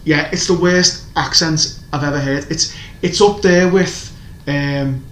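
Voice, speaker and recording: A man's voice: on the high side, measured pace, a bit bright. A man chatting casually as a podcast co-host, rambling and opinionated, with lots of restarts. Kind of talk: casual chat reviewing TV shows. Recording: echoey room, faint background noise.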